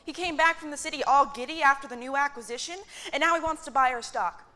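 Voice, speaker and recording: Female stage role acted by a woman: defiant, sardonic factory manager, high-pitched and bright. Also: fast. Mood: scornful, suspicious. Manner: sardonic, profane. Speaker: actor in a staged reading. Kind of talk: staged play reading.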